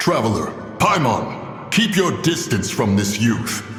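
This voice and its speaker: An actor playing a stern, commanding supernatural guardian in a game, solemn and formal, in heavy reverb. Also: male voice, mid-range voice, very animated, unhurried, fairly bright tone, faint music behind. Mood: grave. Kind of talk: game cutscene acting. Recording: heavy reverberant hall echo.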